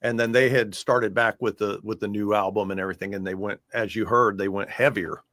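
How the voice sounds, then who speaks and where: A man's voice: lowish voice, fairly even intonation, a little fast, a bit bright, no echo, clean studio sound. An American man chatting casually in a music interview as the guest, talking in a loose, rambling way.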